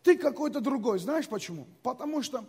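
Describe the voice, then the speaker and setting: A man's voice: very high voice, expressive, brisk, darkish tone, husky, long reverb tail. A man preaching a sermon in a conversational way, repeating phrases and calling the congregation to respond. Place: large church hall.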